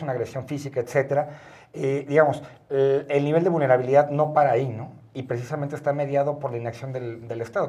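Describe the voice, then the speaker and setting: Mellow, hesitant Mexican man. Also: mid-range voice. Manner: hesitant, searching, repeats words. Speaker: panelist. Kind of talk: reflective panel discussion.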